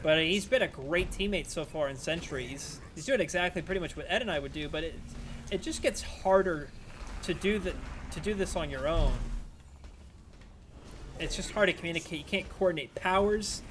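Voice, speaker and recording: A man with a fairly high voice talks loosely through a game stream, drifting between play and viewer questions. His voice sounds strained and a little worn. Game sounds and noise run clearly beneath him. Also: moderate pace. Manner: rambling, chatty, answering chat between plays. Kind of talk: casual live-stream gameplay commentary.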